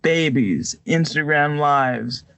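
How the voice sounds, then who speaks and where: A man co-hosting a podcast, chatting casually about his opinions in a halting, stammering way. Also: fairly high pitch, creaky, fairly bright tone, clear, resonant tone, no echo. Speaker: podcast co-host.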